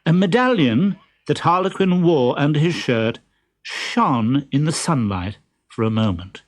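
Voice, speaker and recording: A man's voice: fairly high pitch, lively intonation, a little slow. A man narrating a children's story expressively, as a storyteller reading from a script.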